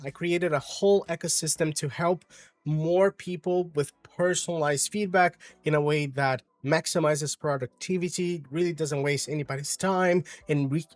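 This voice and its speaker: A man's voice: a little high, no echo. A man in his forties with a Brazilian Portuguese accent, an English teacher patiently explaining his course step by step.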